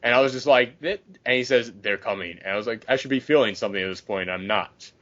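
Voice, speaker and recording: A man's voice: mid-range voice, fast, fairly bright tone. A young man chatting casually about films as a member of a podcast. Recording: dull lo-fi podcast audio.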